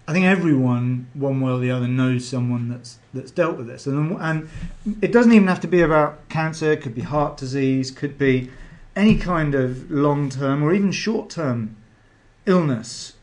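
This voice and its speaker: A middle-aged man hosting a podcast, chatty and rambling, with a lot of ums. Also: mid-range voice. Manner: chatty, rambling with many fillers. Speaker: podcast host. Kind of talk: podcast interview.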